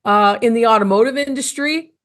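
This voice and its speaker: A woman's voice: a little high, a little fast. A woman hosting a podcast, interviewing in a conversational, effusive manner. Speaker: podcast host.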